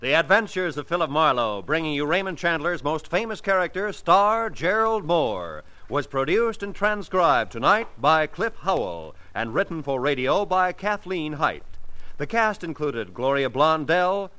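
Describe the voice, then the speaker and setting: A man's voice: fairly high pitch, very animated, a little slow, pushed and bright, rough recording. A man with an American accent announcing in formal, emphatic, scripted 1950s radio style. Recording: old radio broadcast with music.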